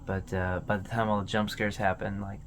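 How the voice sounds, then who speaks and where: Low-voiced man rambling through casual video commentary. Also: level tone. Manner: rambling, informal, halting. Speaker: video host.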